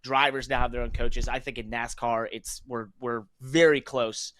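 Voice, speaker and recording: An American man with a mid-pitched voice hosts in a casual, conversational style, setting up topics and questions. The recording is dry, with hardly any room sound. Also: steady tone, moderate pace, a bit bright, dry recording. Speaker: talk show host. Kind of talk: casual interviewing and discussion.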